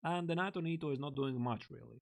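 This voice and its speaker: A man's voice: a little high, quick pace, vocal fry. A man coaching chess on a live stream, thinking aloud and chatting casually with viewers in a close desk mic. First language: Latvian. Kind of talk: live-streamed chess lesson, explaining lines.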